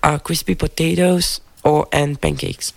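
A woman's voice: sits low, sing-song, unhurried, creaky. A young woman whose first language is Macedonian, answering interview questions in English, hesitant and searching for words. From North Macedonia.

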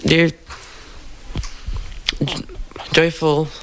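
A woman's voice: sits low, little pitch movement, extremely slow, a little hiss or hum, poor audio. A young woman whose first language is Macedonian, answering interview questions in English, hesitant and searching for words. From North Macedonia.

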